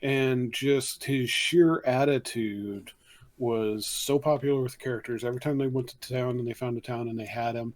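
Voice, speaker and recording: A man's voice: mid-range voice, steady tone, moderate pace, darkish tone. A man on a game masters' panel, casually recounting an anecdote.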